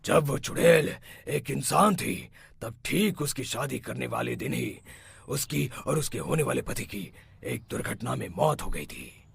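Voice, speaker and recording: A man voicing a confident male exorcist in a cartoon, calm and authoritative, sometimes low and hushed. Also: measured pace, creaky, breathy edge, no room sound, exaggerated voice. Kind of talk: cartoon voice acting.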